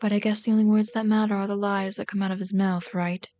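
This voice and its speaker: A woman's voice: mid-range voice, little pitch movement, quick pace, lightly acted. A woman playing a bitter but caring villain queen in an ASMR roleplay, scolding and sardonic, often exasperated. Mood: hurt, bitter. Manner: scolding, sardonic, exasperated, dropping to hushed asides. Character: bitter, caring female villain rat queen tending a captive sidekick. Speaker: voice actor in an audio roleplay.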